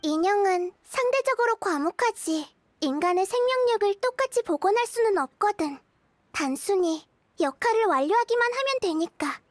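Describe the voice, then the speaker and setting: A tiny child goddess from a video game, with a very high, girlish voice. She is calm and gently grave, explaining things in measured, thoughtful phrases. The sound is close and dry, with music playing behind. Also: no room sound. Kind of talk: scripted game character dialogue.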